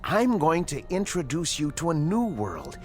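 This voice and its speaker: An actor playing an educated, uneasy scientist in a radio drama, with precise, formal diction and dramatic pauses. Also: male voice, a little high, wildly swooping pitch, a little mellow, soft background music. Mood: earnest, excited. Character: educated, uneasy male scientist, inventor of a time machine. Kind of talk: radio drama acting, dialogue and dictated log narration.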